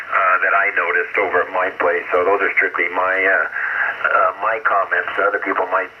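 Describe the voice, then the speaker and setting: A man's voice: low-pitched, level tone, normal speed. A middle-aged man chatting casually over shortwave radio in a rambling, hesitant, conversational way as an amateur radio operator. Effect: heard over shortwave radio. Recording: muffled, hissy ham radio transmission.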